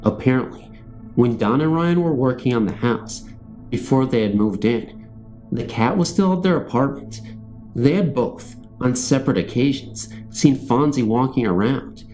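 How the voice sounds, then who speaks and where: A man's voice: mid-range voice, lively intonation, normal speed, a little mellow, soft background music, poor audio. A man reading a scripted first-person ghost story as a narrator. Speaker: narrator of true ghost stories.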